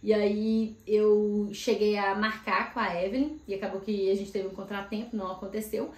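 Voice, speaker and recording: A woman in casual chat, vlogging and telling about her week with lively asides. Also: medium pitch, moderate pace. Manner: chatty, animated storytelling with frequent asides. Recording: echoey room.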